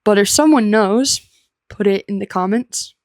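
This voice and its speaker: An American woman teaching English through simple personal stories, speaking in a deliberate, unscripted way with clear phrasing. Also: medium pitch, no room sound, clean studio sound. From United States. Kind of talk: slow, simple personal storytelling for language learners.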